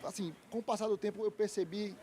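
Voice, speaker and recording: Fast-talking high-voiced man. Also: steady tone, dark tone. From Salvador, Bahia, Brazil. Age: 30s. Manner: fluent, articulate, polished speaker. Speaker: lawyer and institute founder, guest. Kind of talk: interview answers at a trade fair. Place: fair stand, outdoors.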